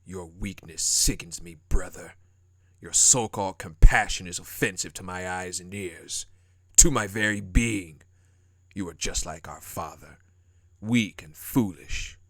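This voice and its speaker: Man acting as a cold, slow-spoken, menacing prince. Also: low-pitched, a bit bright, no echo, heavily acted. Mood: menacing contempt. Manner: contemptuous, menacing, drawn-out formal delivery. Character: cold, haughty, power-hungry prince who scorns weakness. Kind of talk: scripted dramatic audio roleplay.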